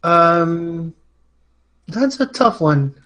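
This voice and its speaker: A man's voice: a little high, animated, drawn-out words, pushed and bright. A man answering questions as a guest on a casual show, easygoing and a little hesitant. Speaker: show guest who hosts a songwriters' talk show. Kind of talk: casual interview chat.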